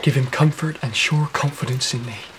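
A man as humble pious servant, tearful, effusive, mid-pitched, period radio play, faint noise. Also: moderate pace, fairly bright tone, natural voice, low-quality recording. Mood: praying. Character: humble, pious, tearful young manservant, fervently devout. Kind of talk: period radio drama acting.

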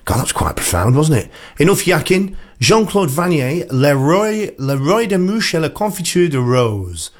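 A man's voice: fairly high pitch, big pitch swings, normal speed, hardly any pauses. A man presenting a music show on the radio in a casual, chatty, rambling way, with playful self-deprecation.

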